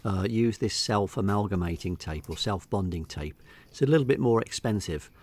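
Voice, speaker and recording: A middle-aged man with an English accent giving a casual, unscripted voice-over walkthrough, hesitating and pausing often. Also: fairly low pitch, a little mellow. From England. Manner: hesitant, unscripted delivery with many pauses. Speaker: hobbyist video narrator.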